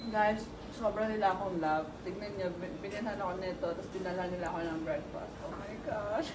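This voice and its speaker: A woman's voice: mid-range voice, quick pace, very noisy. A woman with a Filipino accent chatting casually and playfully with friends for a vlog. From Philippines. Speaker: vlogger. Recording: music behind the voice.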